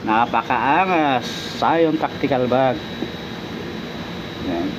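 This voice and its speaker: A man's voice: medium pitch, expressive, extremely slow. A Filipino man who vlogs about motorcycling, chatting casually to his followers in an informal, repetitive hype style. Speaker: motorcycle vlogger. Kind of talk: casual vlog chat to followers. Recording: noisy vlog audio with traffic ambience.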